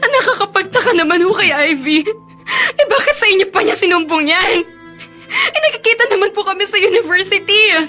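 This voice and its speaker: A woman's voice: extremely high pitch, lively intonation, a little fast, sharp tone, soft background music, full character voice. An actress playing an emotional, hot-tempered young female university student in a Filipino radio drama. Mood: tearful, indignant. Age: young adult.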